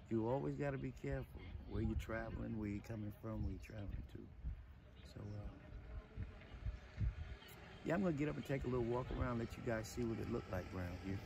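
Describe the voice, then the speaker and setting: A man's voice: on the low side, moderate pace, vocal fry, pauses often, background noise. An older man in his sixties talking casually and in a laid-back way to viewers as a van life vlogger. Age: older, around 60s. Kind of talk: casual rambling vlog talk to viewers. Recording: music playing behind the voice. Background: music.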